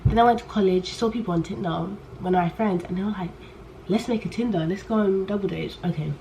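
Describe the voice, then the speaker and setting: A young woman talks straight to the camera, loud and unfiltered. She keeps restarting and wandering off her point. Her voice sits in a middle pitch, over a faint hiss from poor audio. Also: fast talker. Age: young adult. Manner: rambling and candid, trails off and restarts, loud on purpose. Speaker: vlogger. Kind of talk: casual chat to camera.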